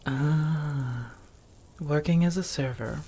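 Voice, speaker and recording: A refined vampire roommate, acted by a woman, speaks softly and breathily in an intimate ASMR roleplay. She takes her time over every phrase, teasing fondly with formal wording and coy pet names, often slipping toward a whisper. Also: low voice, slow pace, breathy edge, heavily acted. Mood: warm, impressed. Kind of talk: soft-spoken ASMR roleplay.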